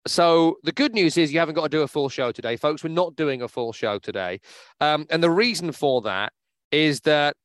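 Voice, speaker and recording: A man's voice: fairly high pitch, normal speed, fairly bright tone, no room sound. Chatty man hosting a podcast. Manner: chatty, upbeat, with many fillers. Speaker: podcast host. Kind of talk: casual podcast chat. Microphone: remote podcast mic.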